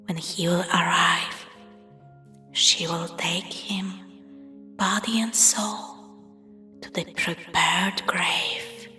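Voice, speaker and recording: A woman narrating a spooky scripted story in a hushed, breathy, whispery voice, telling it dramatically. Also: lowish voice, fairly even intonation, drawn-out words, pauses often. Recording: long reverb, music underneath. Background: music.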